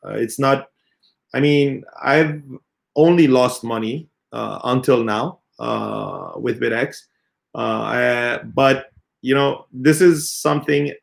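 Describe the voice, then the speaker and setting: A man in his thirties, a company CEO, giving a halting, earnest unscripted answer full of filler sounds. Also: medium pitch, gravelly. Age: 30s. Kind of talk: unscripted spoken answer in an AMA.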